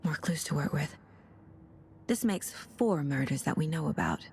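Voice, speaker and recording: A woman playing a focused, businesslike FBI lead investigator in a video game, speaking in a measured, commanding way. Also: sits low, expressive, creaky, a little mellow. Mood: focused, pensive. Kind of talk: scripted game dialogue and introspective narration. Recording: faint background noise.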